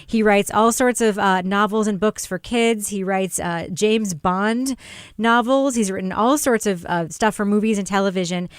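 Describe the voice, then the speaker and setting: Female podcast host, smooth clear voice, effusive and conversational, dry studio sound. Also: mid-range voice, normal speed, no echo. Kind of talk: casual panel chat.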